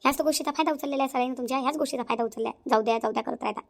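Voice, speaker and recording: A woman's voice: sits high, rapid-fire, creaky. A woman playing a blunt, headstrong young daughter-in-law with a Varhadi accent in a cartoon family drama. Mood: defiant. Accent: rural Maharashtra, Varhadi. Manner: outspoken, insistent, combative.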